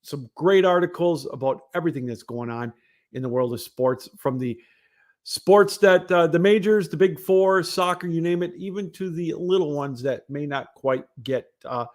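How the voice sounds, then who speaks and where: A man's voice: a little high, moderate pace. Rambling conversational male sports show host. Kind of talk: casual solo sports broadcast monologue.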